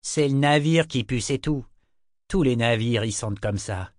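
A man's voice: mid-range voice, moderate pace, dry recording, clean studio sound, lightly acted. A man voicing a sly, leering, lazy sailor in an audiobook, condescending and colloquial in manner. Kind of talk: audiobook character voice.

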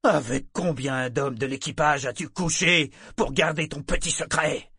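Guy doing an angry sea captain, snarly and scornful, pitched up, audiobook style, dry sound. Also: a little slow, bright, forceful tone, slightly rough, no room sound, full character voice. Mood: roaring fury. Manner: harsh, accusatory, snarling. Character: hard, furious, contemptuous male ship captain. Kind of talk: audiobook character acting.

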